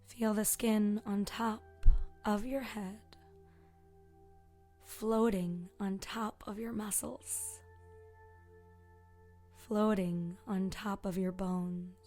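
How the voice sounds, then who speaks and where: A woman leading a guided meditation as a yoga teacher, speaking softly and soothingly with long pauses and a voice that can trail toward a breathy whisper. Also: medium pitch, steady tone. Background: soft meditation music with singing bowl tones.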